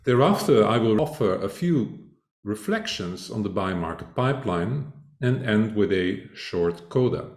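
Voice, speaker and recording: Older male lecturer from Belgium, low voice with lively melody, deliberate academic delivery, echoey room. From Belgium (Flanders). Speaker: conference speaker. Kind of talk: academic conference presentation.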